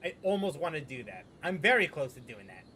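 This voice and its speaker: A man's voice: fairly high pitch, big pitch swings, a little fast, pushed and bright, slight background noise. A man streaming a game with casual, playful, rambling commentary, often repeating his phrases. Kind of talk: casual live playthrough commentary.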